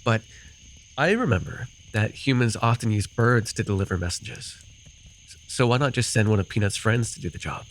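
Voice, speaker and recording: A man's voice: fairly low pitch, lively intonation, normal speed, close to an everyday voice. A man acting a rambling, amused forest fae in a fantasy ASMR roleplay, telling his tale with hesitant, apologetic asides. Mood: curious. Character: rambling, amused male forest-dwelling fae storyteller with bird companion. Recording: noisy with cricket and insect ambience. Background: night forest ambience with crickets and insects.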